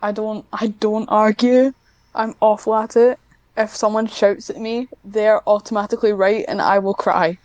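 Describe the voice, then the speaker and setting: A woman's voice: medium pitch, fairly even intonation, normal speed, darkish tone, no room sound, muffled recording. A woman chatting casually as an interview guest, in a hesitant, self-deprecating way. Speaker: guest, hobbyist audio script writer.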